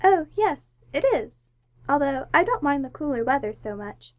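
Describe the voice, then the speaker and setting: Lady playing prim young date, really high voice, swoops up and down, old radio drama. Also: very animated, normal speed, dull audio. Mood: polite, eager. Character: polite, demure young woman on an awkward first date.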